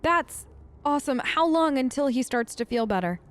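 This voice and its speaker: A woman's voice: high voice, expressive, normal speed. A young woman hosting a role-play let's play who talks eagerly and hesitantly. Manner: hesitant, enthusiastic.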